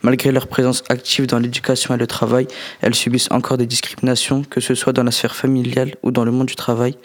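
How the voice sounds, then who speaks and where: A young man of Algerian origin reading a prepared talk on a radio show, steady and careful. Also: medium pitch, quick pace. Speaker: guest presenting a testimony.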